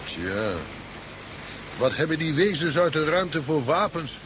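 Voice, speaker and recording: An actor playing a sober, dutiful local sheriff in a Dutch science fiction radio drama from the 1970s. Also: male voice, a little high, expressive, unhurried. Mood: sober, questioning. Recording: muffled, noisy old radio recording.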